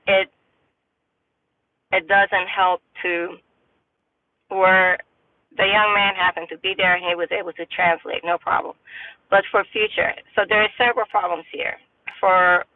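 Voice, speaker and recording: A middle-aged woman hosting a livestream, talking in a rambling, halting, opinionated way with many fillers. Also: fairly low pitch, no echo. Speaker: livestream host. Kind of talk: casual opinion monologue. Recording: tinny phone-like dry audio.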